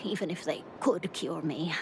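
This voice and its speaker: A woman playing a frail, resigned, hard-of-hearing old widow in a video game, speaking haltingly and wistfully. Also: sits low. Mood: gentle, resigned. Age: older. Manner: halting, sighing, wistful, with soft chuckles. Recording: clearly noisy game stream capture.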